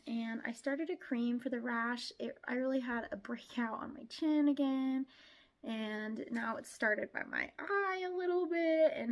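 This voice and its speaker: A woman's voice: a little high, darkish tone. A young adult woman giving a casual vlog update, chatty with frequent laughs and a congested, stuffy voice from a cold. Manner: chatty, laughs often, many "like"s. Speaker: vlogger.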